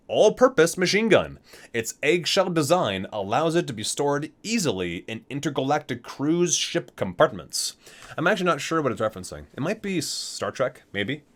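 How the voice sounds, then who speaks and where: Man with animated swings reading aloud, ASMR-style. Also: mid-range voice. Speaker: video host reading a game guidebook.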